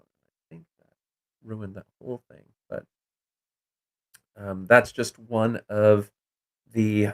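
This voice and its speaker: Middle-aged guy hosting a call-in show, lowish voice, rambles with lots of ums. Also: fairly bright tone. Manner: rambling, many fillers and restarts.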